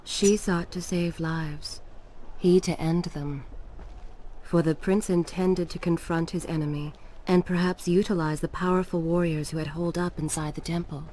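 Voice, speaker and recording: An actress voicing a grave, poised storyteller, narrating a game's tale in a measured, solemn manner. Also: female voice, on the low side, steady tone, warm tone, slight background noise, lightly acted, low-quality recording. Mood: solemn, wistful. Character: woman storyteller recounting a prince's fate, grave and poised. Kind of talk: dramatic scripted narration.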